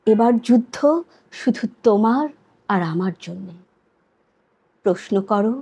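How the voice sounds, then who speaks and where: Woman, poetry reciter, fairly high voice, very slow pace, solemn, dramatic, deliberate pauses. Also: dark tone, dull audio.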